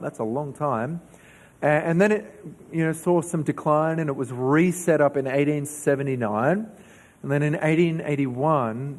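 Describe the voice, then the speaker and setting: A man's voice: medium pitch, a little mellow. Australian man preaching in a reverberant church. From New South Wales, Australia. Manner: conversational, asks the congregation questions. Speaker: pastor. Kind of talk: sermon. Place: church hall.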